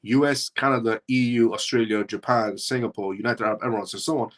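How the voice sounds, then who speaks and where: A man's voice: lowish voice, quick pace. A man originally from Iran, hosting a live show and talking through his points in a rambling way that circles back on itself. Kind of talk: casual livestream commentary.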